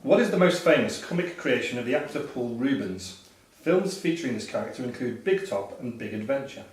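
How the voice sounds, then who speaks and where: A man's voice: medium pitch, lively intonation, fairly quick. Echoey, distant man reading quiz questions. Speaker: quiz master. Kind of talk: reading pub quiz questions aloud to players. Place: echoey function room at a live quiz. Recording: roomy echo, distant mic, background noise.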